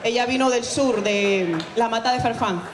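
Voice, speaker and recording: A woman's voice: fairly high pitch, normal speed. A woman in her thirties from New York giving a proud, heartfelt acceptance speech at an awards gala. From New York, USA. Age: 30s. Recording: echoey hall, crowd.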